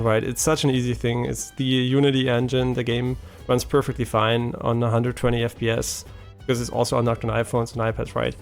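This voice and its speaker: A man talks loosely as he plays, wandering between thoughts and tagging "right?" onto his sentences. His voice has a gravelly, fried edge at an ordinary male pitch. Soft game music sits faintly behind him. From German-speaking, half French. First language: German. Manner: rambling, many ums and restarts, keeps asking "right?". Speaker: gaming streamer. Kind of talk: casual live-stream chat while gaming.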